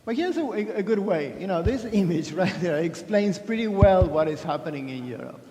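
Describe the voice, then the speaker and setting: A man's voice: sits high, animated, moderate pace, mellow. A man from Argentina giving a chatty, self-deprecating keynote talk at a conference, with many restarts. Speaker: conference speaker. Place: large conference hall. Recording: echoey hall with long reverb.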